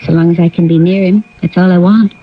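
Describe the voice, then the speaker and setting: A woman's voice: lowish voice, fairly even intonation, fast talker, slight background noise, close to an everyday voice. An actress playing a guarded, devoted young woman in a 1970s radio drama. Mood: earnest, wistful. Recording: dull vintage radio recording.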